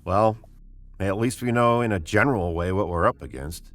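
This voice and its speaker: A spaceship captain played by a man with a low voice, in old radio science-fiction style. He is authoritative and deliberate, giving orders briskly and reasoning things through. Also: natural voice. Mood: pondering, intent. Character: male spaceship captain, commanding, pondering, first-person storyteller. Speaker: narrator and lead actor. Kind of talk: 1950s radio drama acting.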